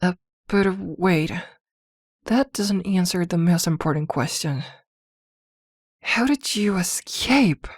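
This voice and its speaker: Woman voicing a slow, low-toned possessive witch girlfriend. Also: breathy edge, no room sound, clean studio sound, exaggerated voice. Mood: tender, curious. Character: possessive, protective witch girlfriend, doting yet jealous. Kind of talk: ASMR girlfriend roleplay audio drama.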